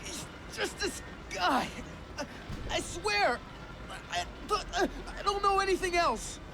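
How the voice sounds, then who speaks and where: A terrified male thug in a game, pleading and whimpering. The voice leaps wildly at a very high pitch and drags slowly through the panic, with music behind. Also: very animated, vocal fry, heavily acted, rough recording. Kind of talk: video game cutscene acting.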